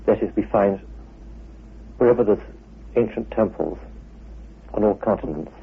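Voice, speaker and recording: A man giving an expository talk as an expert, in deliberate phrases that he often restates. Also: lowish voice, creaky, husky. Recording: narrow, phone-like old radio recording.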